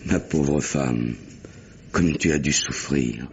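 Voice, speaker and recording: A wounded, forgiving husband in an old radio play. He speaks in an extremely deep voice, very slowly and gravely, on a dull dated recording. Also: full character voice. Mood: grave compassion. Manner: grave, halting, compassionate. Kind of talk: vintage French radio drama acting.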